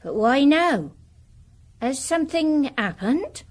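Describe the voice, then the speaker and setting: An actress playing a middle-aged working-class former housekeeper in a period radio drama, guarded, inquisitive and plain-spoken. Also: female voice, sits high, sing-song, slow pace, heavily acted.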